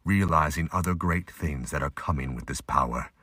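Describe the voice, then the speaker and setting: A man's voice: extremely low pitch, normal speed, bright, forceful tone, slightly rough, no echo, clean studio sound. A man delivering scripted, emphatic narration for an action audio drama.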